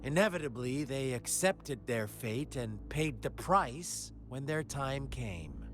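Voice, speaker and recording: An actor playing a sinister, taunting game villain who tells a twisted fairy tale in a theatrical, drawn-out manner. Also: male voice, mid-range voice, very animated, fairly bright tone, a little hiss or hum. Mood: taunting, probing. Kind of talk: video game character acting, storytelling monologue. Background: background music.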